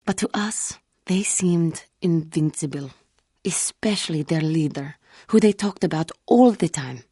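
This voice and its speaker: An actress playing a solemn, haunted alien cat-woman Jedi in a video game, husky and formal, with guarded intensity. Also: sits low, animated, mellow, no echo, studio quality, heavily acted. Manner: formal, measured, earnest speech with guarded intensity. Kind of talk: video game character dialogue.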